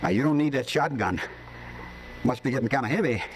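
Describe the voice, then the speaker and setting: An actor playing a lonely, charming drifter in a vintage radio drama, speaking softly and coaxingly. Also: male voice, lively intonation, brisk, lightly acted. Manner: soft, low, coaxing talk. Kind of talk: 1950s radio drama acting. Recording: hissy old radio broadcast.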